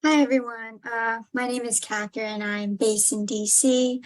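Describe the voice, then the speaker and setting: A woman's voice: a little high, steady tone, normal speed. A woman staff member giving a matter-of-fact introduction on a video call. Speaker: event staff.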